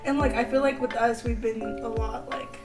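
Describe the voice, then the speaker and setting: Chatty giggly young woman, slightly high voice. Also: steady tone, normal speed, recorded from a distance, over loud music. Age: 20s. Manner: chatty, giggly, filler-heavy. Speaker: podcast host. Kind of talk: casual chat.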